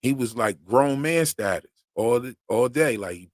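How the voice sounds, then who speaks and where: A man hosting a live stream, chatting casually with slang and long rambles, his voice going hoarse. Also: mid-range voice, lively intonation, moderate pace, dry recording, studio quality. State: losing his voice. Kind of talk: casual fan commentary.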